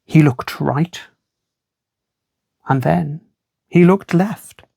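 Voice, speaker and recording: A storyteller reads a children's tale aloud with expressive, measured delivery and a wry touch. The voice has a noticeable creak and sits in a very dry recording with little room sound. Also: medium pitch, dry recording.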